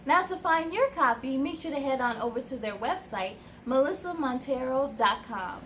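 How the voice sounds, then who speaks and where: A woman's voice: sits high, expressive, moderate pace, fairly bright tone, a little hiss or hum. A woman hosting a children's story time, reading aloud expressively and speaking to young listeners in an encouraging way.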